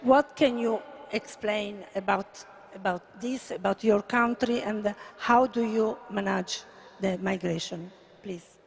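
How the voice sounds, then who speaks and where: A woman with an Italian accent moderating a live conference panel in halting, hesitant English with repeated words. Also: mid-range voice, gravelly, breathy edge. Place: conference venue. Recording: faint live venue background noise.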